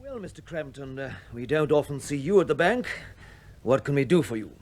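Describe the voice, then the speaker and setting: An actor playing a polite, cautious bank manager with a British accent in a radio play. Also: male voice, a little high, very animated, fairly quick, darkish tone. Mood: obliging. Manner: polite, formal.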